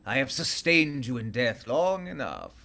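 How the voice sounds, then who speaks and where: An actor in a radio play voicing an ancient vampire master, imperious and deliberate. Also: male voice, mid-range voice, very animated, creaky, sharp tone, heavily acted. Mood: commanding.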